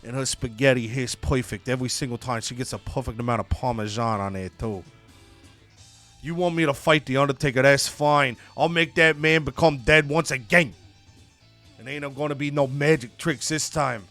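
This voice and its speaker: Proud, combative male wrestler, acted out by a storyteller, indignant tough talk, forceful bright delivery, music underneath. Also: mid-range voice, moderate pace. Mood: defiant.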